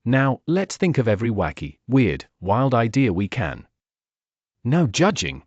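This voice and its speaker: Man voicing brainy, encouraging girl builder, animated. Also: mid-range voice, measured pace, dry recording, dull audio. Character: brainy young female builder, encouraging team planner.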